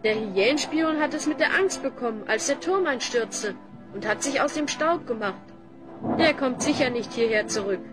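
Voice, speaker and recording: A woman's voice: a little high, lively intonation, moderate pace, music in the background, close to an everyday voice. A woman playing a brave young child hero, earnest and questioning, in a fantasy audio drama. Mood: earnest, explaining.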